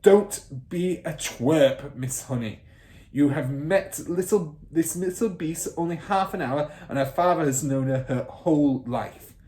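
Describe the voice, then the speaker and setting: A man's voice: a little high, unhurried, mellow, voice pushed up, natural voice. A man voicing a fierce, bullying middle-aged headmistress in a children's storybook, barking and snorting with scorn. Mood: scornful. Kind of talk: children's storybook character reading.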